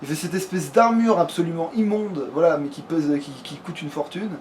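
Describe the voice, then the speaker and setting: A man's voice: a little high, normal speed, darkish tone. A man giving casual let's play commentary in a crude, slangy way, swearing freely. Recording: clearly noisy home recording.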